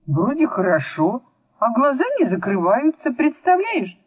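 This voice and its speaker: A woman's voice: on the high side, sing-song, measured pace, breathy edge, no room sound, pitched above the performer's own voice, exaggerated voice. A woman voicing a puzzled, grumbly schoolboy of about nine in a storybook, with colloquial muttering and sighs.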